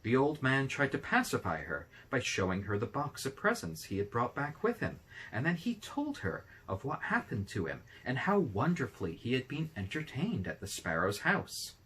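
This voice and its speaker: A man reading a fairy tale aloud as an expressive narrator. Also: medium pitch, normal speed, few pauses, slight background noise, low-quality recording. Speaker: storybook reader.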